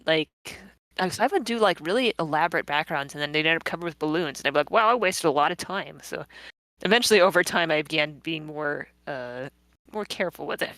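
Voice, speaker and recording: A woman with a low voice talks casually in a close, dry recording. She is a comic creator giving rambling, giggly commentary with a self-deprecating streak. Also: expressive, brisk, a bit bright, dry recording, low-quality recording. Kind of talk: casual live commentary chat.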